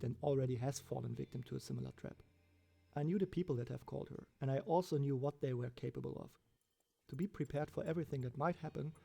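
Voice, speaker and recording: Man, horror narrator, scripted first-person storytelling, heavy vocal fry, gravelly, mid-range voice. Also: medium pitch, dark tone.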